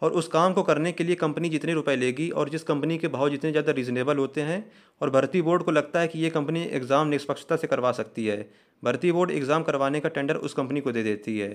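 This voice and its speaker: A man with a somewhat high-pitched voice narrates an explanatory news update as a channel host. He goes through each point in a methodical, step-by-step way, spelling out terms as he goes. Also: fast.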